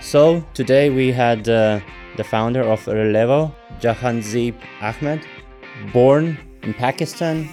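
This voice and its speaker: A man hosting an interview podcast, speaking in a halting way and often restarting his phrases. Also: medium pitch, dark tone, soft background music. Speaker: podcast host.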